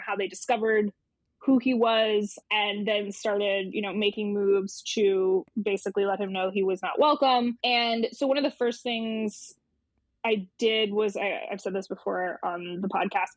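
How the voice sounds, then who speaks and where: A woman's voice: medium pitch, no echo. Woman explaining thoughtfully, close dry sound. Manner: thoughtful, hedging, circling back to rephrase. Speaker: magazine editor being interviewed. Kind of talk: reflective conversational explanation.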